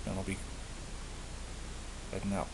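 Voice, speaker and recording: A man giving a casual show-and-tell of his gear, speaking haltingly and often repeating himself as he searches for words. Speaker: hobbyist presenter. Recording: hissy amateur home recording.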